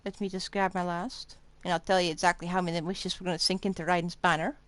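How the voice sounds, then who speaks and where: A woman's voice: fairly low pitch, little pitch movement, quick pace, no echo. A woman livestreaming a game, chatting casually and thinking aloud as she rambles along.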